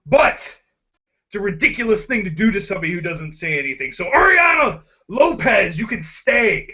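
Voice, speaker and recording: A man's voice: high-pitched, sing-song, moderate pace, sharp tone. A man hosting a rowdy group video chat, joking and giggling a lot. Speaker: host of the hangout. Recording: dull lo-fi call audio.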